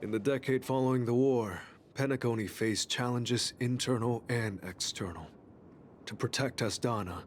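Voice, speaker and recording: A man playing a grave, reverent guide in a video game, recounting history in measured, formal speech. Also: lowish voice, a little hiss or hum, lightly acted. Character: solemn, reverent male guide recounting fallen heroes' history. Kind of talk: video game character acting. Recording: game music behind the voice.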